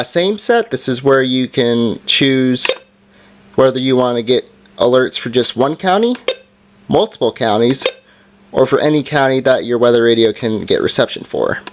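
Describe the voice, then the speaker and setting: Male reviewer, mid-pitched voice, casual step-by-step demo delivery, indoor recording with some noise and clicks. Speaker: product reviewer. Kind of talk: casual hands-on product demonstration. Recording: background noise with handling clicks.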